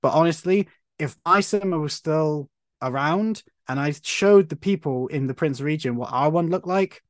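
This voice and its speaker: A man's voice: a little high, no room sound, clean studio sound. A man, telling stories eagerly as a podcast guest. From Cornwall, England. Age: 30s. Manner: animated storytelling, frequent restarts. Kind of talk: casual conversation.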